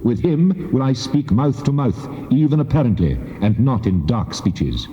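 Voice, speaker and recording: A stern, cavernously echoing voice of God. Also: male voice, medium pitch, sing-song, measured pace, warm tone, dull audio. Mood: solemn rebuke. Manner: solemn, weighty, declamatory. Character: God, a stern, commanding, majestic divine voice. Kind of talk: dramatized scripture audio acting. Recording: cavernous echoing hall, long reverb.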